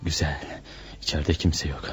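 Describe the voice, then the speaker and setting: A man's voice: a bass voice, steady tone. A male actor in a radio play as a stealthy, calculating hitman posing as a doctor, thinking aloud step by step. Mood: furtive, intent. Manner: deliberate step-by-step self-narration.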